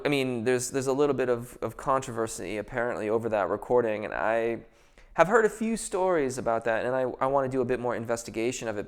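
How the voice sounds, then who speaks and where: A man's voice: mid-range voice, clean tone. A man answering viewer questions as a vocal coach in a casual live Q&A, thoughtful and hesitant, with many fillers and restarts before careful technical explanations.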